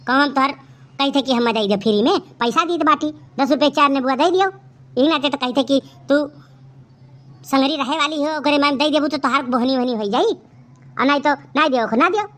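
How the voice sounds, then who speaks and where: A woman plays a village housewife out bargaining in a cartoon. Her voice is fairly high and forceful, with an Awadhi accent, and she bickers and complains in a huffy way. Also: brisk. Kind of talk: cartoon comedy dialogue.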